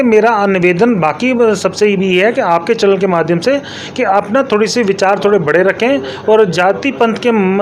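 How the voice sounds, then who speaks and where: A man's voice: high-pitched, a little hiss or hum. A man answering interview questions as an elected local politician, explaining emphatically and repeating his points with English terms mixed in.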